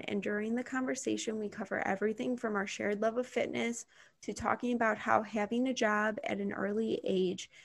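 Gravelly-voiced woman podcast host. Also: medium pitch. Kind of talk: scripted intro then interview questions.

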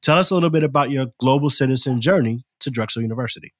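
A man's voice: mid-range voice, racing, no echo. A man hosts a podcast interview, introducing his guest with energy and stumbling into the odd um or repeated word. His voice sits in an ordinary middle range for a man. The sound is dry, with no room around it. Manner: upbeat, with fillers and repeats. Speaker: podcast host.

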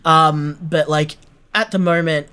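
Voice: mid-range voice, fairly even intonation, slow pace, sharp tone, clear, resonant tone. A podcast producer talking casually and effusively as an interview guest. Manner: effusive, gushing.

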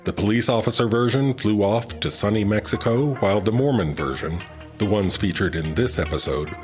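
A man's voice: on the low side, normal speed, faint music behind. A man narrating the recap of a comedy audio drama in a wry scripted manner.

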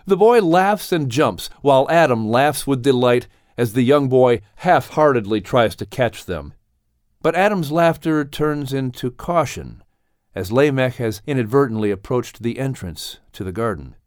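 A male narrator reads a story dramatically. His medium-pitched voice moves widely in tone, expressive and storylike, in a close, dry recording. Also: unhurried, dry recording. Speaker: audiobook narrator.

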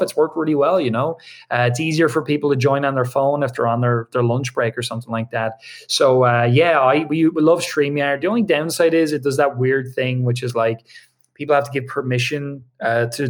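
A man chats as a guest on an interview podcast, his speech tumbling out in quick bursts. He restarts phrases and leans on fillers as he thinks aloud. His voice sits in an ordinary middle register. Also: mid-range voice. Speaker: podcast guest, online business co-founder. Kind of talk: casual interview answers. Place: home video studio with lights.

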